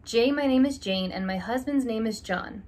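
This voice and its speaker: A young woman reading a children's alphabet picture book aloud, slow and deliberate, stressing each opening letter, in a small room. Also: on the high side, a little mellow. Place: small room indoors by a curtained window.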